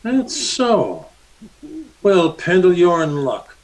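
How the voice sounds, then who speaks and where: A man's voice: sits high, sing-song, heavily acted, low-quality recording. An American man playing a menacing, mocking cowboy bully in an old-time radio Western. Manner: mocking, slow-drawling taunts. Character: menacing cowboy bully, sneering ex-Confederate guerrilla.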